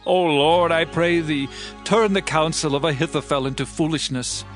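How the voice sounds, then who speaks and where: A sorrowful exiled monarch acted by a man in a dramatized scripture recording. His voice sits somewhat high for a male, and his formal archaic phrasing is solemn and commanding. Clear music plays behind him. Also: steady tone, normal speed, lightly acted. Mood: pleading. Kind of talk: dramatized audio Bible acting.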